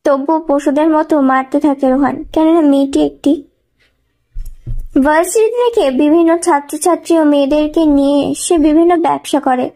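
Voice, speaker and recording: A woman's voice: high voice, moderate pace, mellow. A woman reading scripted narration of a dramatic thriller story as a storyteller.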